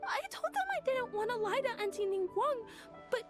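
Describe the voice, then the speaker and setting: A woman's voice: very high-pitched, expressive, moderate pace, over music. A woman playing a sweet, hesitant, earnest little girl in a video game. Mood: tearful, apologetic. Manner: hesitant, childlike. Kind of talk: scripted video game voice acting.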